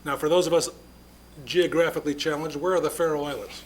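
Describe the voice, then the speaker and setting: A man's voice: on the high side, fairly even intonation, quick pace. A man chairing a city council meeting, interviewing exchange students in a jokey, upbeat way.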